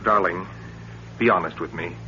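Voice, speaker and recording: An actor playing an uneasy, suspicious husband who probes yet stays affectionate, in 1940s radio thriller style. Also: male voice, low-pitched, moderate pace, bright, forceful tone. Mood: uneasy, probing. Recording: dull old radio recording with hum.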